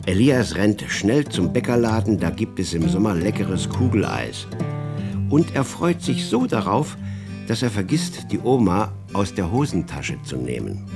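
A man's voice: on the low side, sing-song, unhurried, creaky, over music. A man narrating a children's radio play as a scripted storyteller.

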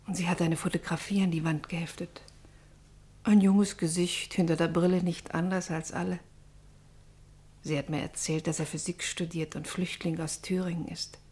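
A woman's voice: lowish voice, a little mellow, close to an everyday voice. An actress playing a middle-aged pastor's wife grieving for her daughter in a German radio drama, anguished, bitter and brooding. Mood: hushed confiding. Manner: anguished, brooding, partly whispered.

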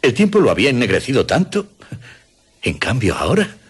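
A man's voice: mid-range voice, huge pitch swings, fairly quick, husky, heavily acted. An actor playing a kindly, dignified older father in a Spanish radio drama, genteel and a little hesitant, with a Castilian accent. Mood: admiring.

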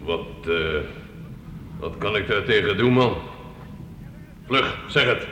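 A forceful, haughty lord of the Middle Ages in a radio drama. He is curt and threatening, in a fairly low voice, ringing through an echoey hall. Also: lively intonation, takes it slowly. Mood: suspicious, gruff. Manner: curt, commanding. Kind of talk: radio play acting. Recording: echoey hall, noisy old radio recording.

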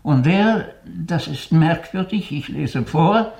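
An actor playing an elderly, pensive and excitable learned doctor in a radio play, theatrical and lecturing. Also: male voice, on the high side, slow pace, vocal fry, full character voice. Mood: absorbed, reading. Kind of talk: German radio play acting. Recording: faint hiss, 1980s radio drama.

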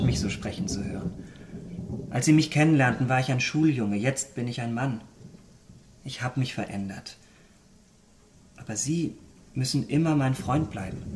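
An actor playing a vain, callous young aesthete in a German radio drama, eloquent and theatrical. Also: male voice, medium pitch, slight background noise, lightly acted. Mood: soft, pleading. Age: young adult.

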